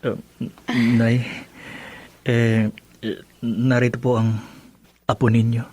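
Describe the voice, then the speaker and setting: An actor playing an earnest, devoted young husband and dutiful son in a radio drama, gentle and conversational, with a Filipino accent. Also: male voice, medium pitch, little pitch movement, takes it slowly. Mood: pleading.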